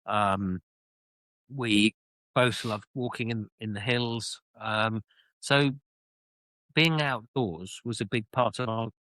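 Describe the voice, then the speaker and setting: A middle-aged British man telling his own story as an interview guest, reflective and halting, often repeating words as he searches for phrasing. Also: lowish voice, pushed and bright, no room sound, poor audio. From United Kingdom. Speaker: interview guest, author and former army officer.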